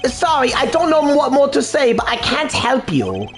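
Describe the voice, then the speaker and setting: A man's voice: extremely high pitch, huge pitch swings, moderate pace, faint music behind, voice pushed up, exaggerated voice. A man voicing a jittery young male pet stylist suspect who stammers nervously and swaps his R's for W's. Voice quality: slight lisp replacing R's with W's. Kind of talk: fan dub of a video game.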